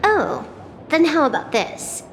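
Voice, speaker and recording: A woman voicing a cool, wry young hacker outlaw in a video game, cheeky and unbothered with deadpan wit. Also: sits high, takes it slowly, big-room sound, a little hiss or hum, full character voice. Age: young adult.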